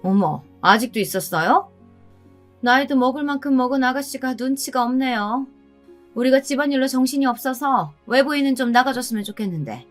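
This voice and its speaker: A woman's voice: high-pitched, expressive, a little fast, faint music behind, voice pushed up. A woman voicing a scheming, theatrical middle-aged mother in a story, whiny when coaxing and haughty when giving orders. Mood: cold, haughty. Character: scheming middle-aged mother, wheedling husband, curt with outsiders.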